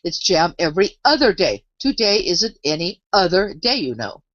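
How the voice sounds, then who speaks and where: A woman's voice: fairly low pitch, big pitch swings, normal speed, fairly bright tone, dry recording, dull audio. A woman voicing a scatty, imperious old queen in an emphatic, dotty manner for a storybook reading. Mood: brisk, insistent. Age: older. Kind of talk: storybook character voice.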